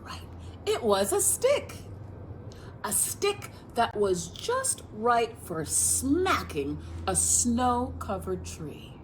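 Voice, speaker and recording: Unhurried, animated woman reading a storybook. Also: on the high side, a little hiss or hum, low-quality recording. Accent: American. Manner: expressive, questioning, addresses children directly. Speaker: children's librarian reading at storytime. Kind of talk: storytime picture-book read-aloud with asides to young listeners.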